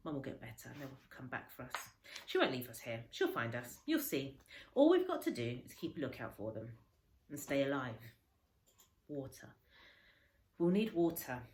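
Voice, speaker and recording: A woman's voice: extremely low pitch, full character voice. A woman reading the part of a stranded child in a storybook, anxious but determined, urgent and emphatic in short bursts. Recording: echoey room, faint background noise.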